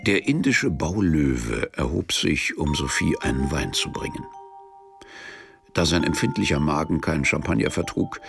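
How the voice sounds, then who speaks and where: A man narrating a literary radio crime drama in a measured, deadpan manner, as a cool, sardonic storyteller. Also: a bass voice, creaky, heavily acted. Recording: music under the voice.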